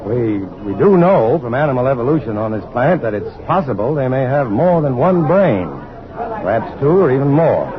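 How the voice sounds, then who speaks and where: An actor playing a thoughtful astrophysicist in an old radio drama, speaking in a hesitant, scholarly way. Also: male voice, medium pitch, animated, moderate pace, crowd noise, close to an everyday voice. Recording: dull vintage radio, clear noise behind.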